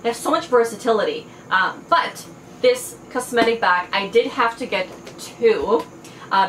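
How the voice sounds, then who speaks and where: A woman's voice: medium pitch, a bit bright. Chatty Farsi-speaking woman, echoey room. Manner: enthusiastic, chatty, frequent fillers. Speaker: fashion and beauty vlogger. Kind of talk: casual haul video. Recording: echoey room, faint background noise.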